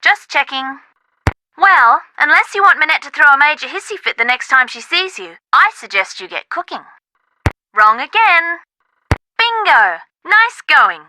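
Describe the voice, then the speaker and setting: A woman's voice: high voice, pushed and bright, slight background noise, exaggerated voice. A woman playing a sassy, chirpy young female video game character, perky and sarcastic, with slangy prompt lines. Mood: upbeat.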